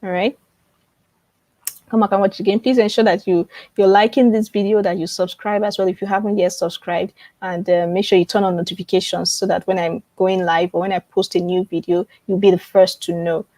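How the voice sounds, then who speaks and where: A woman hosts an informal live online class in a medium-pitched voice. She repeats key phrases and checks in with viewers often. The sound is close and dry, with little room echo. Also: level tone, fairly quick, warm tone. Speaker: online publishing coach hosting a live webinar. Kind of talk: informal live teaching and promotion, answering viewer questions.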